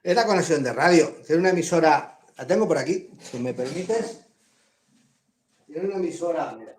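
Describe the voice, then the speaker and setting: Man, Madrid Spanish accent, middle-aged, slightly high voice, lively casual chat, video call. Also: moderate pace. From Madrid, Spain. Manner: chatty, laughs often, animated. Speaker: guest drone hobbyist. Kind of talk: casual live-stream interview.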